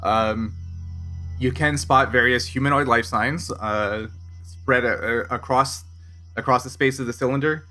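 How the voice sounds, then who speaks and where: Bright-voiced man running a tabletop game. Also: medium pitch, steady tone, measured pace, soft background music. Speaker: game master. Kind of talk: improvised narration and rules talk in a tabletop roleplaying game.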